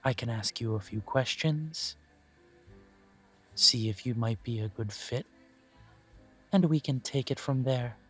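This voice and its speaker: A man gives voice to a courteous elf who runs a small coffee shop. He speaks at an unhurried pace in a mid-range voice, formal and gently self-deprecating. Soft music plays quietly beneath his words. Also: mid-range voice, husky. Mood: gracious. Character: courteous elven male coffee shop owner. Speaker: shop owner interviewing a job applicant. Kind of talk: scripted one-sided roleplay audio.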